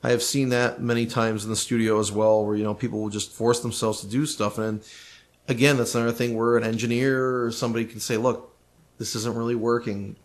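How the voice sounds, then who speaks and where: Male, podcast co-host, casual conversation, mid-range pitch, emphatic, opinionated. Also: level tone, a little fast. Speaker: podcast co-host, recording engineer.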